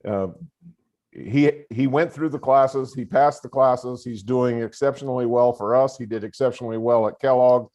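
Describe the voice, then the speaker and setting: An older man who has coached for decades, explaining a student's case to a board over a remote call in a loud, earnest voice full of fillers. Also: mid-range voice, steady tone, moderate pace. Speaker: school administrator and longtime coach.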